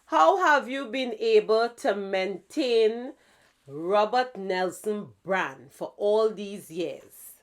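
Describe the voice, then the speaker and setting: A woman hosting a relaxed interview at a slow, easy pace. She affirms her guest often and speaks with plenty of fillers, and her voice sits at a middling pitch. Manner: affirming, unhurried, full of fillers. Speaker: host. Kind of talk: casual interview.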